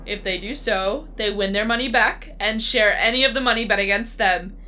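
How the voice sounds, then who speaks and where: Woman acting a bright, cool-voiced female deathmatch announcer. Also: medium pitch, moderate pace, slight background noise, natural voice. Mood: condescending, detached. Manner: composed, instructive, matter-of-fact about death. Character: disembodied female announcer of a deadly arena game, coolly menacing. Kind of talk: scripted audio drama acting.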